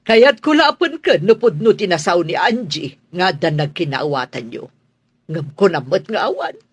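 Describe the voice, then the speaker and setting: A woman's voice: lowish voice, lively intonation, moderate pace, slightly rough, no echo. An actress with a Filipino accent playing a stern, class-proud, middle-aged rich mother in a radio drama, haughty and scolding. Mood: suspicious, disapproving.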